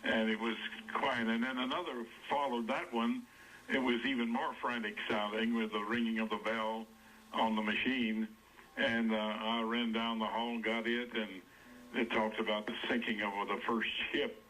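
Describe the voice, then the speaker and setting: A man, a veteran radio broadcaster recalling the past slowly and with gentle humor. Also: medium pitch, flat delivery, poor audio. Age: 90s. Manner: slow, rambling, gently humorous. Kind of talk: interview reminiscence. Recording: radio interview with faint noise.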